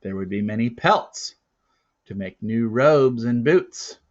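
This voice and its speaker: Male read-aloud narrator, children's novel, dramatic phrasing, unhurried pace, slightly dull recording. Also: fairly low pitch, fairly bright tone. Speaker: story reader.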